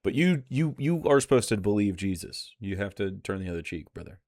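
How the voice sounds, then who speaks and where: A man's voice: on the low side, sing-song, a little fast, a little mellow. Blunt, argumentative man doing casual commentary. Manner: blunt, swearing, sarcastic. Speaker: streamer reacting to a video.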